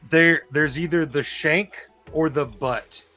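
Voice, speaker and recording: A man's voice: fairly high pitch, slow, sharp tone. A man chatting casually as a player in a live-streamed trivia game. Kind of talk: casual chat. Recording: faint music behind the voice. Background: faint music.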